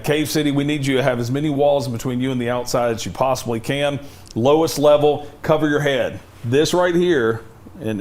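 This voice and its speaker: A man covers a severe weather outbreak live on TV, urging viewers to take cover. His voice is worn and a little hoarse from a long night. He speaks at an ordinary middle pitch, firm and directive. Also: darkish tone. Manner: urgent, directive safety warnings. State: losing his voice, cough drop in mouth. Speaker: TV meteorologist.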